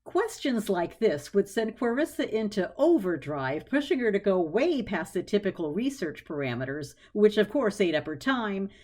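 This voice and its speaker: A woman's voice: medium pitch, big pitch swings, moderate pace, hardly any pauses, darkish tone. A woman reading scripted story narration in a wry, conversational storytelling manner.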